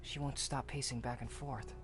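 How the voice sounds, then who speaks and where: A timid, earnest young character with a notably low voice for a female. The delivery is hesitant and observant, in visual novel style, over clearly audible music. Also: steady tone, normal speed. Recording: prominent music behind the voice.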